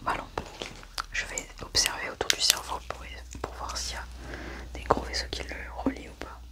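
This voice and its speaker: A woman whispering an ASMR medical roleplay, murmuring slow step-by-step commentary on what she is doing. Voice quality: whispered, unvoiced. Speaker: ASMR roleplayer acting as an alien autopsy examiner.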